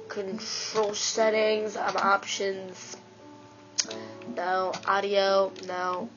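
A woman with a stuffy nose gives casual, rambling commentary while she plays a video game, excitedly talking to herself. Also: mid-range voice. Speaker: let's play gamer. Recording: dull lo-fi with game music.

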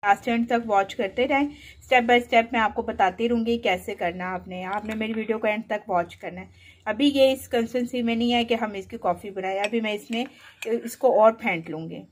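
A woman's voice: fairly high pitch, normal speed, slight background noise. A woman hosting a home cooking tutorial, talking viewers through each step in a chatty, patient way from her kitchen counter. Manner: chatty, instructive, repeats steps patiently. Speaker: cooking channel host.

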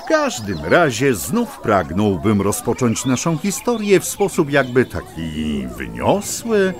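Very animated, slow-paced male storyteller. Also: medium pitch. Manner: theatrical, whimsical, mock-lofty. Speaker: storyteller narrator. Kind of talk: scripted children's story narration.

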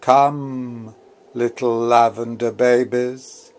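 Man, medium pitch, very slow pace, dramatic literary reading, theatrical, incantatory, deliberate phrasing. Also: flat delivery. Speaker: author reading own novel.

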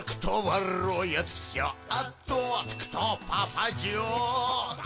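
Gravelly, smug singing cartoon governor. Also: male voice, on the high side, full character voice. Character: smug corrupt governor singing about his graft. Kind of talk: theatrical cartoon song. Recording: loud musical accompaniment.

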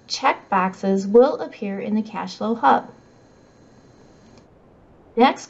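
A middle-aged woman reads scripted tutorial narration in a measured, instructional way. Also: medium pitch, a little mellow. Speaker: software trainer. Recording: slightly dull, muffled audio.

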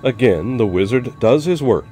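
A man narrating a horror comic in a slow, dramatic storytelling style. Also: mid-range voice, expressive, warm tone, faint music behind.

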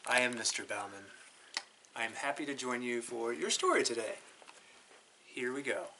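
A man in his thirties reading a children's picture book aloud in a deliberate, drawn-out, expressive way. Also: mid-range voice, a little hiss or hum, rough recording. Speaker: storytime guest reader. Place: living room by a brick fireplace.

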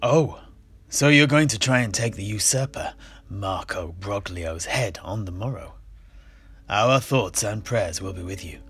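A man playing a genial old fantasy tavern keeper and storyteller, with courteous, old-fashioned, measured phrasing. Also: on the low side, lively intonation, a bit bright. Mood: courteous, storytelling. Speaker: host. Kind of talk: in-character fantasy roleplay dialogue. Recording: music behind the voice.

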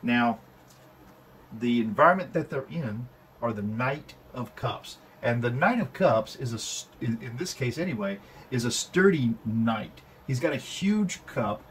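A man giving an unscripted tarot reading at a table indoors, halting and full of fillers and restarts. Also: mid-range voice, lively intonation, slight background noise, low-quality recording. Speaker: tarot reader.

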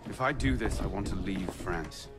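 A man playing a low, terse adventurer. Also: normal speed, close to an everyday voice. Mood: guarded, weary. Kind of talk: video game cutscene acting. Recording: loud music underneath.

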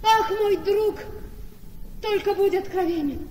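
A naive, adoring young wife speaks in an extremely high voice at a slow pace. The echoing hall carries her emotional, theatrical radio-play exclamations over clear noise. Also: a little mellow. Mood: tender, eager. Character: devoted, naive young wife, tender and desperately loving. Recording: echoey noisy hall, long reverb.

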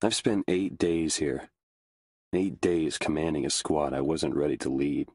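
A man playing a weary, haunted American squad leader, narrating a war video game in a brooding, measured, introspective way. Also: extremely low pitch, fairly even intonation, a little mellow, breathy edge, no echo, studio quality, full character voice. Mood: somber, weary. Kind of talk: somber scripted game narration. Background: music.

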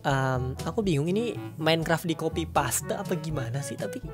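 A man's voice: fairly high pitch, lively intonation, moderate pace. Stuffy-nosed young man chatting over music. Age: young adult. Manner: chatty and upbeat, lots of fillers. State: stuffy nose from a cold. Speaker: gaming YouTuber. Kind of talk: casual game review vlog. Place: home room with gaming chair.

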